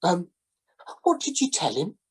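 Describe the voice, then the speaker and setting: A woman's voice: on the low side, huge pitch swings, measured pace, breathy edge, full character voice. A woman voicing a shifty male sailor who talks like a wheedling salesman. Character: shifty male sailor hawking his own drowning.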